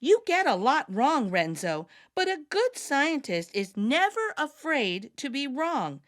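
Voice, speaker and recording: A woman's voice: sits high, sing-song, measured pace, a bit bright, dry recording, studio quality, full character voice. A woman voicing a determined, easily exasperated young girl scientist from a storybook, emphatic and exclamatory. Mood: reflective.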